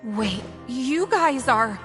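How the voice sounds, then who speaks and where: A woman's voice: a little high, lively intonation, very slow, long reverb tail, over loud music. An actress playing a timid, apologetic woman in a video game, hesitant in her delivery. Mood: uneasy. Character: timid, apologetic female society member. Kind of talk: video game character acting.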